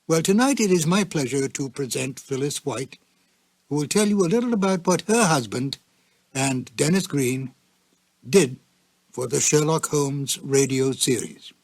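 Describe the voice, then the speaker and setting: A man's voice: a little high, lively intonation, a little slow, darkish tone, dry recording. A man hosting a programme, reading a scripted introduction as narrator. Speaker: host.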